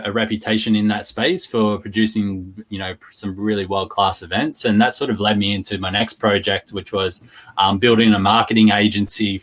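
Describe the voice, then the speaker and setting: Low-voiced Australian man, conversational. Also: fairly bright tone. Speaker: interview guest, digital marketing entrepreneur. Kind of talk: conversational self-introduction and explanation.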